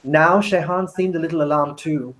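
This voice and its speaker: A man, an actor, narrating a first-person novel in a measured, deliberate dramatic reading. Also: a little high, steady tone, fairly bright tone.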